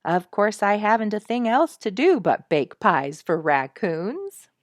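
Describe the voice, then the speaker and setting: Woman acting a wry, animated storybook mother. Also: medium pitch, measured pace, dry recording, studio quality, pitched above the performer's own voice. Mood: mock grumbling. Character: wry, playfully long-suffering mother and pie baker.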